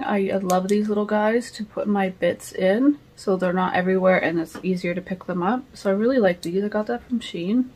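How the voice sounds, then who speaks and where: A woman's voice: medium pitch, low-quality recording. A woman in her thirties chatting casually as a nail vlogger, rambling and questioning herself as she thinks aloud. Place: home nail studio room. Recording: clear background noise with clicks.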